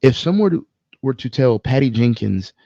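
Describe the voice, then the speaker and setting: A man co-hosting a casual podcast, speaking in an opinionated, emphatic way with swearing and halting pauses. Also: medium pitch, big pitch swings, gravelly, dark tone, no echo, highs cut off. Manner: profane, emphatic, halting with pauses mid-thought. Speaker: podcast co-host. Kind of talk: casual film discussion, opinionated ranting.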